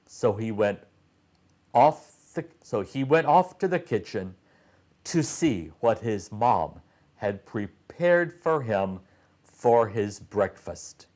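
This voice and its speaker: A man reading a children's storybook aloud as a storyteller, with careful, deliberate phrasing. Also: lowish voice, animated, a little mellow.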